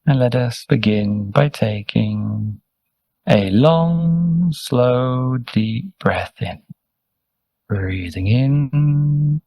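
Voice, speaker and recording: A man guides a meditation at a very slow, unhurried pace. His voice is mellow and relaxed, with a gravelly fry at its edges. He gives gentle, soothing step-by-step instructions and leaves space between phrases. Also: medium pitch, no room sound. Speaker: meditation teacher, Buddhist monk.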